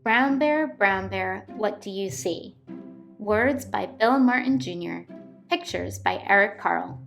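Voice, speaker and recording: A woman hosting a children's read-aloud and movement lesson, instructing young children in an animated, singsong way. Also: mid-range voice, takes it slowly, gravelly. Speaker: children's yoga and story time host. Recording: roomy echo, distant mic, music bed. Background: music.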